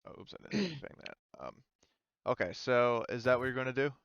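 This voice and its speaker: A man's voice: mid-range voice, expressive, fast, a bit bright, smooth, no room sound, dull audio. A man acting as game master of a tabletop roleplaying game, casually thinking aloud as he talks through rules and turns.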